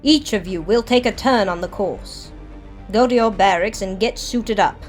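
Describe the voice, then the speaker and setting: A woman playing a brisk, composed army captain who instructs with authority in an audio drama. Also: mid-range voice, faint music behind, natural voice. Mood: instructive.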